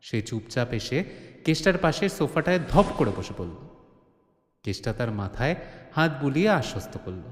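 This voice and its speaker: A man's voice: mid-range voice, sing-song, moderate pace. A man narrating a comic mystery audio drama as a dramatic scripted storyteller. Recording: roomy, long studio reverb.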